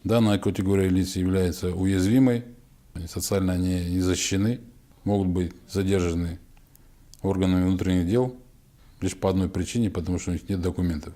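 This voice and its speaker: A man's voice: deep voice, fairly even intonation, brisk, dark tone. A man as a regional official giving a formal, bureaucratic statement in a documentary interview, with frequent hesitations.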